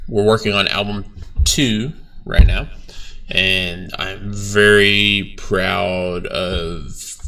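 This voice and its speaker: A young man, a bassist, talking casually about his band's video in a laid-back, rambling way with lots of fillers and some swearing. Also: vocal fry, pushed and bright, far from the mic. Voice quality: low voice. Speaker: bass player reacting to his band's video. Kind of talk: casual reaction vlog.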